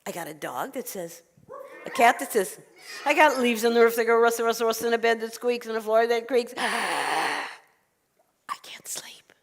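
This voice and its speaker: A woman's voice: on the high side, brisk, lightly acted. A woman voicing a frazzled, sleepless old woman who complains and pleads, in a storytelling performance. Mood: apologetic complaint. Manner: exclaiming, pleading. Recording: echoey hall with long reverb.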